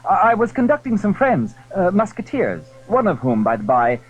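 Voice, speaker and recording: A man voicing a jaunty young swashbuckling musketeer in an audio drama, animated, with a slight stammer. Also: sits high, normal speed, slightly rough, soft background music, pitched above the performer's own voice, full character voice. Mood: earnest. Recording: dull lo-fi 1980s audio drama.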